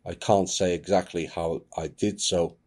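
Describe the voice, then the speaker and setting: A man hosting his channel, reading a submitted account aloud with a grave, measured delivery. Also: sits low, fairly even intonation, darkish tone. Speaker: channel host.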